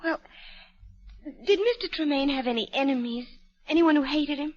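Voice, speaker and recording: A woman's voice: sits high, moderate pace, muffled recording, close to an everyday voice. An actress playing a distressed, determined young woman in an old-time radio drama, earnest and insistent as she pleads. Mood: urgent, hopeful. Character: distressed, determined young woman defending her accused father.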